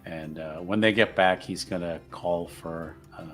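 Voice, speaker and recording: A man's voice: deep voice, fairly even intonation, a little slow, a bit bright, soft background music. A man playing a tabletop roleplaying game, casually improvising narration of what his character does.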